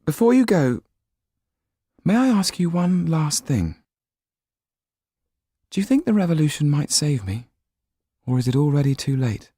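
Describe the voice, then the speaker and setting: A man voicing an anxious, earnest young aristocratic girl with a soft, urgent manner in an audiobook. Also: a little high, sing-song, measured pace, pauses often, husky, no room sound, studio quality, pitched above the performer's own voice, full character voice. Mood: pleading.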